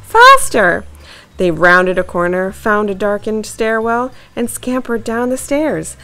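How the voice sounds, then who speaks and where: A woman's voice: medium pitch, steady tone, unhurried, a bit bright. A woman reading a children's story aloud and hosting a kids' story channel. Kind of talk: animated read-aloud storytelling for young children.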